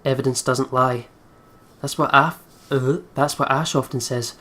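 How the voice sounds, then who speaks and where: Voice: medium pitch, fairly even intonation, measured pace, fairly bright tone. A game video host reading a horror visual novel aloud dramatically, with casual asides.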